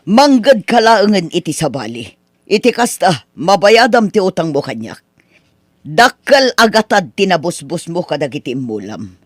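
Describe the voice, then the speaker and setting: A man's voice: high voice, big pitch swings, normal speed, no echo, studio quality. A man voicing Aunt Teresa, a stern older woman who lends money, scolding and disappointed in a radio drama.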